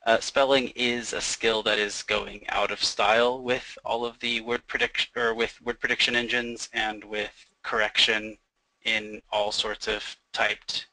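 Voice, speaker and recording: A young man with a lowish but very bright, sharp voice, talking through software features in a loose, easygoing way. He fills pauses with "um" and "like". The sound is dead dry, with no room at all. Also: level tone, dry recording, rough recording. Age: young adult. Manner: fluent, uses fillers like "um" and "like". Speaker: software developer presenting. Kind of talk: casual explanation in a webinar.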